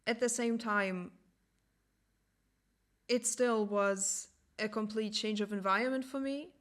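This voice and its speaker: A young adult woman whose native language is German talks slowly through a personal reflection, pausing often to find the right words. Her voice is smooth and resonant, sitting at a middle pitch. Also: steady tone. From Latvia. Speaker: podcast host.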